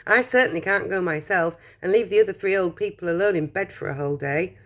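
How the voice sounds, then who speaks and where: A woman reading a children's storybook aloud, voicing a calm, caring mother who speaks gently. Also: on the low side, fast talker. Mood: cheerful.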